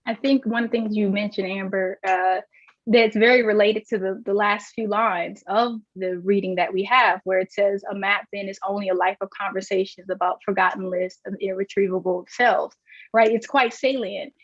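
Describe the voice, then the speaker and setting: A woman's voice: medium pitch, steady tone, moderate pace, no echo. A woman moderating a panel discussion, posing questions in a thoughtful way, on a lo-fi recording. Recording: dull, lo-fi sound.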